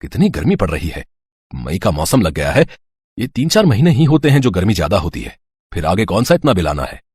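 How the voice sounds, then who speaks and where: A man plays a middle-aged family patriarch in a cartoon tale. He is mild and patient yet chiding, his lowered voice moving expressively, recorded dry. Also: very animated, a little fast, darkish tone, husky, dry recording, heavily acted. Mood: reasoning. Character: mild, reasoning middle-aged husband and father-in-law. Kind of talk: Hindi cartoon moral-story acting.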